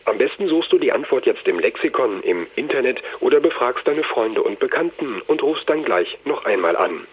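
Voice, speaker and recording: A man's voice: mid-range voice, expressive, moderate pace, slightly rough. A man voicing an automated telephone quiz announcer, giving callers scripted instructions over a phone line. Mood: instructive. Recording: narrow, muddy telephone line sound.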